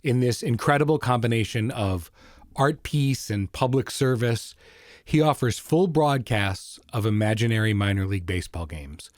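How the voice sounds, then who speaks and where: A man's voice: medium pitch, a little mellow, no echo, studio quality. A man hosting a podcast, delivering a scripted introduction. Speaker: podcast host introducing an episode. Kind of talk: scripted narration.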